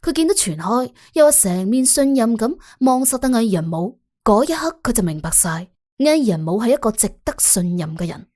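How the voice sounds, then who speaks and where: A woman narrating a suspense audiobook in an expressive, colloquial storytelling style. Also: on the high side, moderate pace, hardly any pauses, dry recording, clean studio sound. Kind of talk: scripted suspense storytelling in Cantonese. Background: music under the voice.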